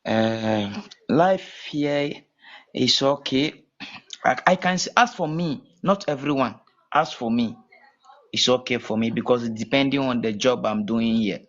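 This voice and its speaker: A man from Ghana with a Ghanaian accent, talking as a guest in a casual call-in interview, halting and repeating his words. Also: mid-range voice, a bit bright. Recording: muffled lo-fi call audio.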